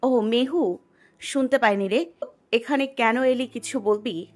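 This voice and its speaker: A woman's voice: fairly high pitch, slow, soft background music. A woman playing a melancholy, heartbroken young elder sister in an audio story. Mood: evasive, subdued. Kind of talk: Bengali audio story acting.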